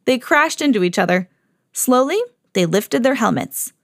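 Higher-voiced mom reading a storybook aloud. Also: female voice, normal speed, no echo, studio quality. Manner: lively read-aloud, jumps high for quoted shouts. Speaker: mom reading to her kids, podcast host.

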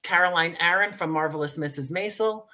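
A man's voice: fairly high pitch, a little fast, bright, forceful tone. A man speaking as an interview guest, presenting his creative project in an articulate, promotional way. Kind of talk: interview conversation.

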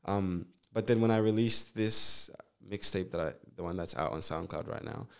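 Deep-voiced young man from Zambia. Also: normal speed, creaky. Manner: relaxed, rambling, many fillers and restarts. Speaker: guest, nurse and musician. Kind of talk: casual interview chat.

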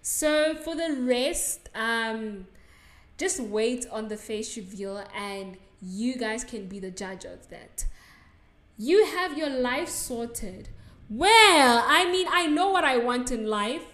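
A woman's voice: a little high, lively intonation, takes it slowly, fairly bright tone. A young South African woman with a South African accent, hosting a solo podcast in a chatty, giggly way full of likes and ums. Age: young adult. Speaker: podcast host. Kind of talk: solo podcast answering listener assumptions. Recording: echoey room.

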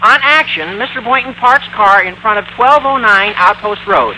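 An actor playing an eager, bossy teenage schoolboy in an old-time radio comedy, with a brash, squeaky adolescent delivery. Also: male voice, expressive, normal speed, bright, forceful tone, heavily acted, poor audio. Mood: bossy, directing. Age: teenage character. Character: eager, bossy high-school boy, excitable schemer. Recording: vintage radio recording with faint noise.

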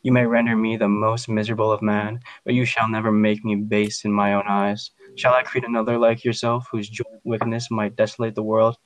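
A man's voice: on the low side, little pitch movement, fairly quick, few pauses, no echo, close to an everyday voice. A man voicing a resolute, defiant male scientist with emphatic, formal delivery. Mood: defiant, scornful. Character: defiant, resolute male scientist refusing his monstrous creation.

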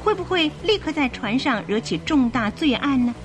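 A woman's voice: high voice, expressive, normal speed, soft background music. A woman narrating a scripted radio drama in a theatrical storytelling manner.

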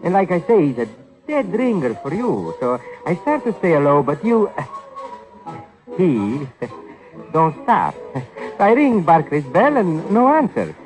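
Sly, swooping radio-drama blackmailer. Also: male voice, on the high side, wildly swooping pitch, slow pace, pauses often, darkish tone, full character voice, rough recording. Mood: slyly threatening. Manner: mock-friendly insinuation, ungrammatical slang. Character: sly, needling male blackmailer with streetwise slang. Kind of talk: 1950s radio crime drama acting. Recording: old radio recording, music behind.

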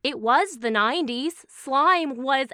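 Bright, swooping bubbly aunt. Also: female voice, high voice, lively intonation, slow, smooth, dry recording, studio quality, exaggerated voice. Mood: fond, wry. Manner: bubbly, fond, emphatic. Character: bubbly, affectionate aunt, former game show champion.

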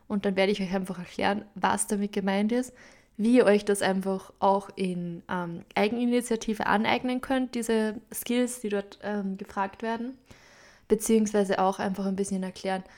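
A woman's voice: mid-range voice, a little mellow. A woman in her twenties with an Upper Austrian accent, hosting a podcast in a chatty, casual way with many fillers and English loanwords. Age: 20s. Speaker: podcast host. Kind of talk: casual solo podcast talk.

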